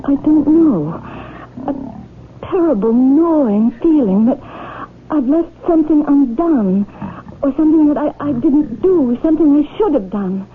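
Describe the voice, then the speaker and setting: Phone-thin old radio sound, haunted woman, higher-pitched, hesitant, stammering, guilt-stricken, radio drama. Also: lively intonation, a little slow. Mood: anguished. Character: troubled, guilt-haunted married woman seeking a cure. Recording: noisy, tinny old radio drama recording.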